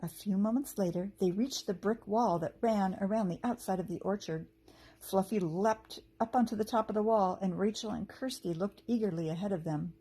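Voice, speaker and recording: A woman teacher reading a children's story aloud with expressive narration and a slightly husky voice. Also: medium pitch, moderate pace.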